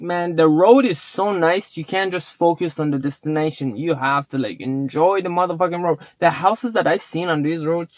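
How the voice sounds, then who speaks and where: A man's voice: a little high, dry recording. A man whose first language is not English, rambling and swearing casually as he talks to his chat in a vlog. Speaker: vlogger. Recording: dull lo-fi sound.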